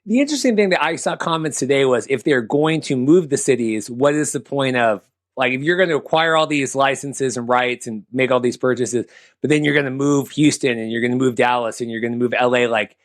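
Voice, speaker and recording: Male sports podcast host, mid-pitched, conversational and rambling, close dry recording. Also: brisk, no echo. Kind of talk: casual sports talk with banter.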